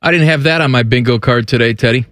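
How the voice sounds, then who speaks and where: A man's voice: mid-range voice, quick pace, a bit bright, no echo, studio quality. A man co-hosting a sports radio show, wisecracking and riffing playfully. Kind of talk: casual banter.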